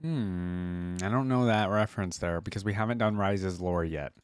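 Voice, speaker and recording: A man's voice: deep voice, normal speed, smooth, dry recording, faint music behind. A man giving casual, slangy reaction commentary as a video host, with appreciative interjections.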